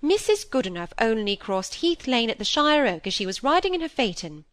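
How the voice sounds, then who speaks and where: A woman's voice: medium pitch, sing-song, normal speed, fairly bright tone, dry recording, studio quality, voice pushed up. A woman voicing a stern, bossy older spinster in a storybook, speaking in an emphatic, sententious way. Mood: sure, sententious. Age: middle-aged or older.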